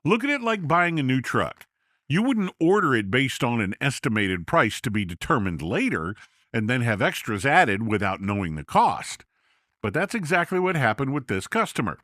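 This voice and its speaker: A man voicing a seasoned male contractor who calmly explains his system to other builders. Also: mid-range voice, dry recording, studio quality.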